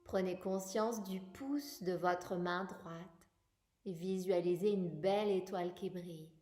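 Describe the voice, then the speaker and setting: A woman guiding a yoga relaxation meditation in a calm, soothing, unhurried manner, leaving long pauses. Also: lowish voice. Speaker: yoga nidra guide. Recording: roomy echo, music bed. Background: music.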